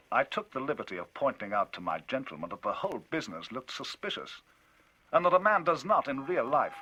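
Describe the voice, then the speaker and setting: Brief: a man voicing a worked-up gentleman narrator, lively swoops, forceful, husky. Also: medium pitch, a little hiss or hum, voice pushed up, rough recording. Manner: emphatic, rising, animated storytelling.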